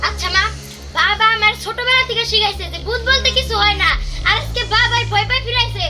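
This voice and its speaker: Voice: very high voice, steady tone, brisk. An actor voicing a sceptical, argumentative young person with an exasperated manner in a cartoon. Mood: incredulous. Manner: argumentative, incredulous. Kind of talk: cartoon voice acting. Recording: loud music under a distant-sounding voice.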